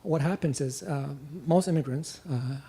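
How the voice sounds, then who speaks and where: Young guy from ethiopia asking a rambling question, mellow tone, kinda high. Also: husky. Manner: halting, many fillers and restarts. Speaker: audience questioner. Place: lecture hall.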